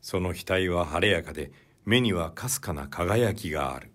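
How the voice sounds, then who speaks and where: A man reading classic literary fiction as an audiobook narrator, in a measured, deliberate way. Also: low voice, lively intonation, a bit bright.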